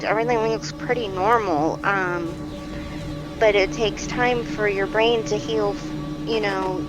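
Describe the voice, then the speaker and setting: An actress as a calm female doctor in a naturalistic fiction podcast. She is reassuring and patient, feeling her way through sentences in an ordinary mid-pitched voice, with music clearly underneath. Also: lightly acted, rough recording. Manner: soothing, patient, hesitant conversational phrasing. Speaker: therapist or doctor counselling a patient.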